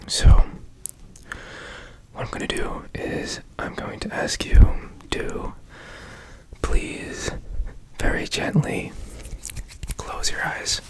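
Whispering man, slow and gentle. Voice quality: soft breathy whisper. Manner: gentle, slow, soothing instruction. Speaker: ASMR host guiding a meditation. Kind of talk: whispered ASMR guided meditation. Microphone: close studio microphone.

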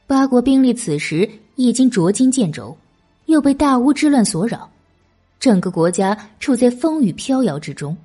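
Lady reading an audiobook novel, soft mellow husky voice, really lively intonation. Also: on the high side, moderate pace, faint music behind. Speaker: audiobook narrator. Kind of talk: scripted novel narration.

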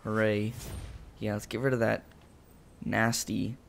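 A man casually commenting as he plays a video game, chatty and talking himself through each move, his voice gravelly after just waking up. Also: on the low side, flat delivery, measured pace, crowd noise. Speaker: gameplay commentator. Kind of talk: casual let's-play commentary. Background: game music and sound effects.

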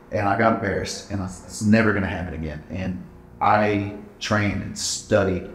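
Deep-voiced man in an echoey gym. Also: slight background noise. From Texas. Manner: plain-spoken, halting with many uh fillers. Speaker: gym owner. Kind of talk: casual interview answers. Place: large indoor gym.

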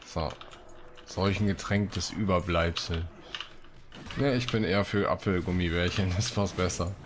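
A man with a very low voice hosts a let's play in a casual way. He talks through the gameplay and reads out quests, with noticeable background noise of keys and game sounds. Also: fairly even intonation, normal speed. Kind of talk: casual gameplay commentary with read-out quest texts.

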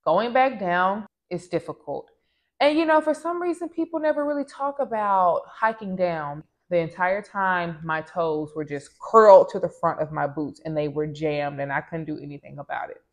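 A woman of thirty from the American South, chatting to camera about a trip in a relaxed vlog, recorded at home on a lapel mic. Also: on the low side, normal speed. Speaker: vlogger. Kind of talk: casual travel vlog. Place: small home room with plants.

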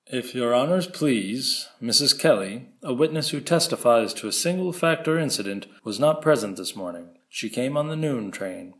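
A courteous district attorney, played by an actor, with a medium-pitched male voice. He addresses the bench in polite, formal oratory, as in a period courtroom drama. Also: animated, normal speed, hardly any pauses, fairly bright tone. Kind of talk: dramatic reading of a court transcript.

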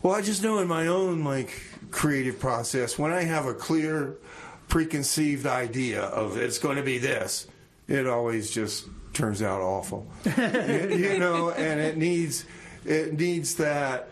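Higher-pitched man hosting community radio. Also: takes it slowly, slight background noise. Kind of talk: casual live radio interview. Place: radio studio.

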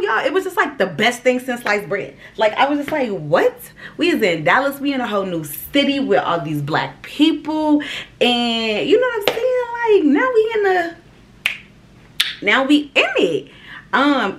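A young woman in her twenties from Ohio, telling her story to viewers in a casual, animated vlog and calling them "y'all". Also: fairly high pitch. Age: 20s. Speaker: vlogger. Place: small indoor room.

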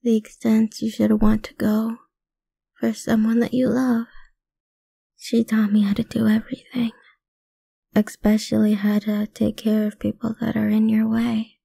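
A woman playing a possessive, lovesick mafia boss's daughter in an ASMR roleplay, speaking softly and breathily, often slipping into whispers, with sweet menace. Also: on the high side, monotone, no echo, exaggerated voice. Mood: calmly menacing. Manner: soft, breathy, slow, often dropping into whispers. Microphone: close mic, dry.

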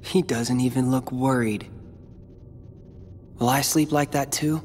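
An actor playing a calm, determined teenage boy hero in a video game, with a dry, brooding way of talking. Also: mid-range voice, unhurried, slight background noise, natural voice. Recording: game audio with reverb and loud music.

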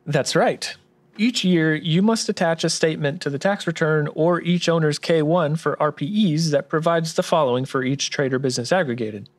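A man's voice: on the high side, moderate pace, lightly acted. A man playing an obliging tax explainer sidekick, giving orderly scripted explanations in a radio drama. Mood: matter-of-fact. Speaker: tax expert co-host.

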